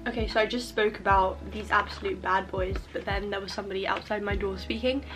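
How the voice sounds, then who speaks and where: A woman's voice: mid-range voice, fairly even intonation, fast talker, over music, rough recording. A young woman chatting to camera in a casual vlog, rambling and self-mocking, recorded in her bedroom on a handheld camera. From England. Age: young adult. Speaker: vlogger. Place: bedroom at home. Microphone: camera at arm's length.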